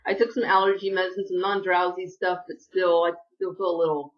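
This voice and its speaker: A woman's voice: fairly low pitch, flat delivery, brisk, far from the mic. Woman beauty vlogger, casual rambling haul chat, sniffly, a bit off-mic, dull lo-fi sound. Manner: chatty, rambling, apologetic asides. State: sniffly from allergies.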